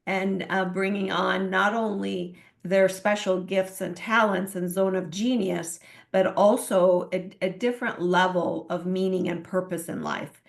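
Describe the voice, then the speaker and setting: A woman from Iran talks earnestly about her coaching work, with a gravelly, creaky edge to her voice. She hesitates often and restarts her sentences. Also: mid-range voice, fairly even intonation, measured pace. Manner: earnest, many fillers and restarts. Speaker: guest, transformational coach. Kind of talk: interview answers.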